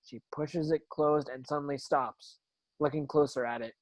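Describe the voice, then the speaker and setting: Higher-pitched man reading stage directions, dry sound. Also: fairly even intonation, normal speed, no room sound. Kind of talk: scripted screenplay narration.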